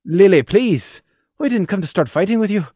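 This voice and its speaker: Man as hesitant young stallion, apologetic, stammering, lively pitch, dry close sound. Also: moderate pace, slightly rough, dry recording. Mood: pleading. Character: young stallion, anxious and apologetic older brother.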